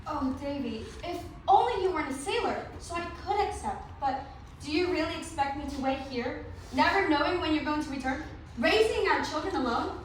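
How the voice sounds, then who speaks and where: A woman's voice: high voice, lively intonation, a little fast. A woman playing a regretful but firm young sweetheart in a student readers theatre piece. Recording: echoey room recorded from far off, faint noise.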